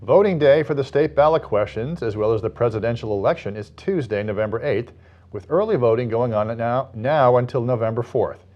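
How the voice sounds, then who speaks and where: A man's voice: mid-range voice, fairly quick. American male news anchor, slightly muffled sound. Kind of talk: scripted news narration.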